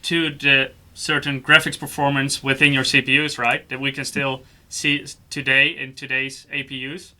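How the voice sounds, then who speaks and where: A man's voice: medium pitch, little pitch movement, normal speed, hardly any pauses, bright, forceful tone. A man hosting a livestream, interviewing in a friendly, chatty, casual way. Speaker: livestream host.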